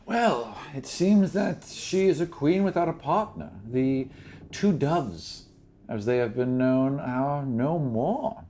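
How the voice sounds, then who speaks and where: A man's voice: medium pitch, expressive, slow, dark tone, slight background noise, voice pushed up. A man voicing an elderly elven grandmother in a fantasy tabletop game, doting yet imperious and world-weary, with genteel, fussy phrasing. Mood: shrewd. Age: very old.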